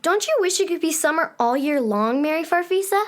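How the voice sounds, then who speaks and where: A woman's voice: extremely high pitch, lively intonation, a little fast. An actress playing a sunny, self-satisfied young girl who rules summer in a children's radio drama, breezy and gushing. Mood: cheerful, proud.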